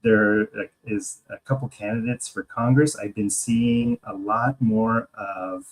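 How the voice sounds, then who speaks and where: An American man co-hosting a podcast, talking casually about politics and thinking aloud in a hesitant, halting way. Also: lowish voice, fairly even intonation, poor audio. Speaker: podcast co-host.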